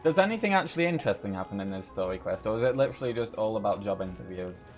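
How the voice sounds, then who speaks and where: A man's voice: on the low side, animated, rapid-fire. A man giving casual live gameplay commentary as a streamer, with dry, offhand reactions. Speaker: game streamer. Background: game music.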